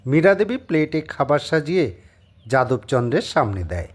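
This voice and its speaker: Husky male story narrator, very lively pitch. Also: moderate pace. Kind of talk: scripted dramatic story narration.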